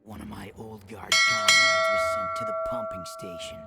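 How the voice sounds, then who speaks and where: An actor playing a gruff, stern male resistance commander in a video game, gravelly, curt and commanding. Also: lowish voice, fairly even intonation, normal speed, loud music behind the voice. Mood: grave, hushed. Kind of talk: animated video game voice acting.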